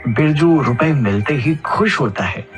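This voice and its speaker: A man's voice: fairly high pitch, lively intonation, unhurried. A man narrating a scripted cartoon moral story. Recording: faint background music under the voice.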